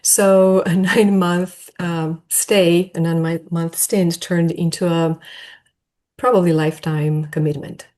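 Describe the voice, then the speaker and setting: A woman with a slightly low voice and a Romanian accent answers as an academic podcast guest. Her talk is conversational and reflective, often rephrasing itself. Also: level tone, slow pace, a little mellow. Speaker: podcast guest, architecture professor.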